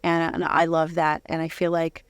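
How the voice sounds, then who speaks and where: A woman's voice: low voice, completely flat delivery, normal speed, smooth, dry recording. A woman hosting a casual interview, responding in an affirming, encouraging way. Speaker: interview host.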